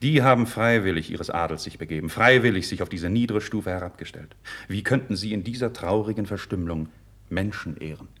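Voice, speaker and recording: A man's voice: low voice, fairly quick, a bit bright, breathy edge, full character voice. An actor playing an idealistic, proud young nobleman in a classical radio drama, with earnest, declamatory, formal diction. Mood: grave, reproachful. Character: idealistic young nobleman, passionate, proud, candid before his king. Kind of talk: 1950s German radio drama, classical verse acting.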